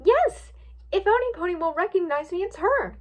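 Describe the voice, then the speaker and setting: A storybook pony princess, acted out by a woman, with a high, shrill pitch. She is earnest and easily indignant, exclaiming emphatically with big swings in tone. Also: very animated, normal speed. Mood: anxious, hopeful.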